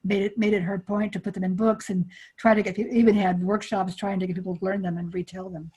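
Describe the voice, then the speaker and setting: Older woman, webinar presenter on a video call, husky and breathy, repeats herself. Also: mid-range voice, level tone, fast talker, mellow. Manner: repeats words often, chatty and reassuring. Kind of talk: casual discussion on a video call.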